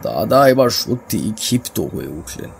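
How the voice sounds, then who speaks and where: A man narrating a horror story in the first person, grave, deliberate and dramatic. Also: lowish voice, sing-song, vocal fry, husky. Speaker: narrator and lead character of horror audio story. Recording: music under the voice.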